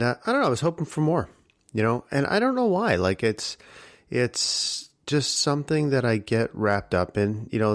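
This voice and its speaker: Husky-voiced man talking at dawn. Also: lowish voice, dry recording, clean studio sound. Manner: reflective, rambling with many fillers. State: early-morning tired voice. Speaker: podcast host. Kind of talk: casual solo talk.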